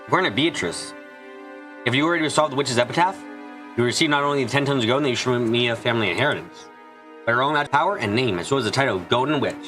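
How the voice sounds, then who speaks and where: Male fan-dub narrator for a visual novel: brisk pace, forceful bright tone, expressive read. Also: mid-range voice, soft background music. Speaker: fan dubber reading a visual novel aloud. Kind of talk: scripted narration with character dialogue, fan dub.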